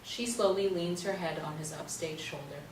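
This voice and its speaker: A woman's voice: sits low, moderate pace. A woman narrator reading stage directions in a scripted play reading. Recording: roomy stage, distant mic, faint noise.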